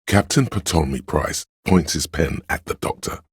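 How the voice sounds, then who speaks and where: Solemn omniscient game narrator, male, bottomless bass pitch, heavily raspy and gravelly, measured delivery, grave police-drama storytelling. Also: lively intonation, dry recording, clean studio sound, heavily acted. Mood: solemn, brooding. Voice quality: gravelly, husky, very deep.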